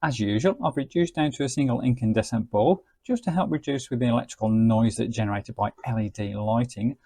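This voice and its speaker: A man's voice: medium pitch, brisk, gravelly, dark tone, husky, no echo. A man presenting a product review as a narrated demonstration. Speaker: reviewer and presenter of gadget videos.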